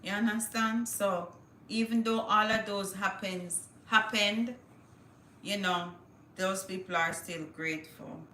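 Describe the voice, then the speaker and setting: A woman's voice: medium pitch, steady tone, measured pace, bright, forceful tone, reverberant, slight background noise, poor audio. A woman hosting a casual livestream, chatting to viewers and reading news aloud with small stumbles. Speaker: livestream host.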